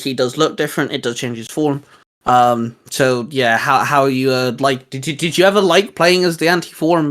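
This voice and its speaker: A man's voice: mid-range voice, fairly bright tone. A man co-hosting a casual geek chat, talking in a hesitant, rambling, informal way with bits of slang. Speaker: co-host of a geek culture show. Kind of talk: casual chat, reviewing video game designs.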